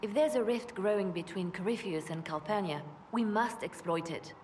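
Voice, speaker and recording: A woman playing a cool, calculating spymaster in a fantasy game, measured and intent. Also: mid-range voice, a little mellow, natural voice. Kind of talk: fantasy video game acting. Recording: noisy echoing hall.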